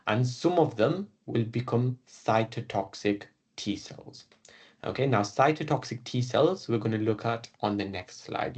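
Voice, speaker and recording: A man's voice: mid-range voice, a little mellow. Man, British accent, teacher, recorded classroom lecture, step-by-step explaining, frequent "okay"s. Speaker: biology teacher.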